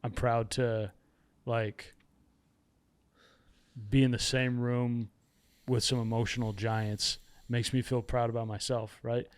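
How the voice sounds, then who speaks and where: Male podcast guest with a smooth, resonant voice and a mid-range pitch. Reflective and candid, he pokes fun at himself. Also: level tone, slow. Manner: candid, self-deprecating humor. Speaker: podcast guest, author and podcast host. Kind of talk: reflective casual conversation.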